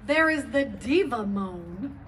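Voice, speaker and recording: A woman performing a comic stage monologue as a gleeful, bawdy character, theatrical and teasing with drawn-out pauses. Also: fairly high pitch, animated. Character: bawdy, gleeful woman cataloguing kinds of moans. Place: live performance at a bar and grill. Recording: very noisy live stage recording.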